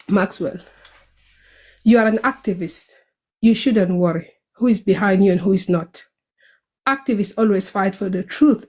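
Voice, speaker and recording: A woman with a South Sudanese accent talks in a casual online audio chat. She speaks earnestly and a little rushed, in a voice of ordinary middle pitch. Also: darkish tone, breathy edge. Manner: hurried, earnest speaking at work. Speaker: online group member.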